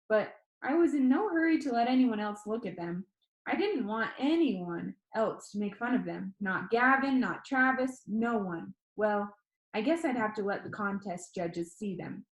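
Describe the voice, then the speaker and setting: A woman reading a children's novel aloud as expressive first-person audiobook narration. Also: medium pitch, roomy sound, poor audio. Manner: expressive read-aloud storytelling.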